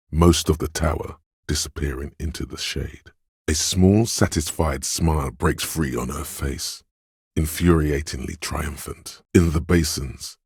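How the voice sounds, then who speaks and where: A very deep, gravelly, husky male voice speaks as the hero's watchful inner perception in a video game. The delivery is calm and measured, noting small details one at a time. The recording is dry and close. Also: no room sound, full character voice. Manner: measured, observant, softly descriptive delivery. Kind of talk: second-person scripted game narration.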